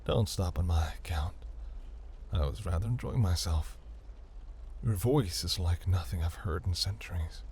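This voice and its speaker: A man voicing a seductive, imperious demon prince in a fantasy audio roleplay, velvety, teasing and grandiose, with a breathy, gravelly murmur. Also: deep voice, normal speed, darkish tone, slight background noise. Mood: amused admiration.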